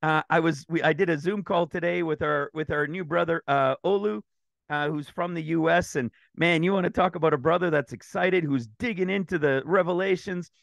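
A man teaching scripture over a screen share, talking in an excited, rambling way with a slightly raspy throat. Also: fairly high pitch, a little fast, no echo. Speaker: ministry teacher.

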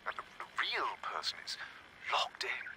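A man playing a grave, clinical scientist giving a halting, formal interview over the radio in a video game. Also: sharp tone, in a whisper. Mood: grave, uneasy. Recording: noisy small transistor radio sound.

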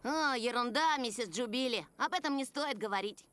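A woman's voice: a little high, lively intonation, normal speed, fairly bright tone. A woman voicing a stubborn, plain-spoken young female farm pony in a cartoon dub, curt and guarded. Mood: awkward, modest. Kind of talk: animated children's cartoon dub. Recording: music behind the voice.

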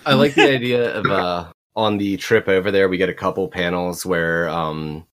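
A man's voice: sits low, normal speed. A man acting as game master, casually describing comic panels to his players with easy enthusiasm. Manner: relaxed, enthusiastic, lots of fillers.

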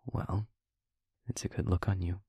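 A man playing a sincere, slightly nervous vampire in an audio roleplay, halting and wry with his friend. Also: deep voice, steady tone, dark tone, slightly rough, dry recording, full character voice. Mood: wry, amused. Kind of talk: intimate audio roleplay acting.

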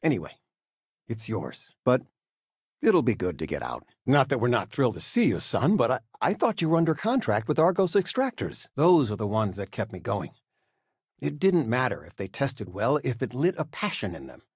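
An actor playing an affable, proud older father, a retired teacher in a video game, speaking fondly with gentle wry humor. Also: mid-range voice, huge pitch swings, normal speed, slightly rough, no echo, studio quality, full character voice. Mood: fond, easygoing. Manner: fond, reassuring, gently joking. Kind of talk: video game character dialogue.

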